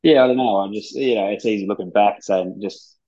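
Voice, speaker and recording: A man talking in a laid-back, rambling way, swearing casually during a relaxed interview. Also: on the low side, brisk. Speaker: gym founder and ice hockey player, guest. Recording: dull lo-fi sound.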